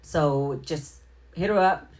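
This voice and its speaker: Low-voiced middle-aged woman, chatty livestream host. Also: takes it slowly, darkish tone. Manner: chatty, informal, slangy, addresses viewers directly. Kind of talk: casual livestream chat with shout-outs to viewers.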